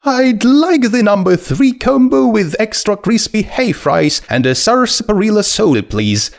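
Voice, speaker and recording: A man voicing a cheerful, polite young female skeleton pony with a light, energetic voice. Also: measured pace, creaky, heavily acted. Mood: chirpy, polite. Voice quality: high, light. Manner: bubbly, full of energy.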